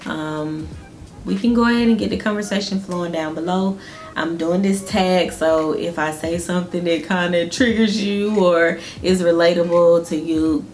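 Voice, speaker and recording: A young woman from Georgia with a Southern accent, chatting casually with viewers while doing her makeup at home. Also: fairly low pitch. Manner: chatty, laughs often, addresses viewers directly. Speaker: beauty vlogger. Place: small indoor room at home.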